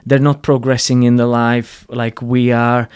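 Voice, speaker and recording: A man's voice: medium pitch, level tone, a little slow, darkish tone, clean tone. Slovak-accented man hosting, blunt and earnest. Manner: blunt and earnest, frequent restarts, salty language. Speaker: podcast host. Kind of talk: casual interview conversation.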